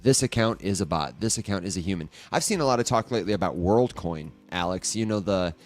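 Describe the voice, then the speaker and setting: Guy with a lowish voice chatting on a podcast, asks his cohost stuff, faint music in the back. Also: lively intonation, fast talker. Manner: conversational, asks questions, addresses his co-host by name. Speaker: co-host. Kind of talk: casual podcast chat.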